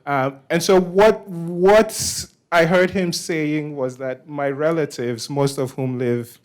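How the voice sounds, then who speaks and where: A man from Nigeria hosting a meetup and giving an informal presentation, halting, with frequent restarts and repeated words. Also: a little high. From Nigeria, West Africa. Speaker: meetup organizer and host.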